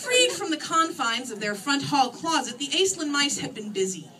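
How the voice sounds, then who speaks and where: A woman reading her first-person novel aloud in an expressive, theatrical and wry manner. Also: high-pitched, normal speed, heavy background noise. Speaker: author reading own novel. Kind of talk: animated first-person story reading to an audience. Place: screening room, live reading. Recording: distant microphone in a room.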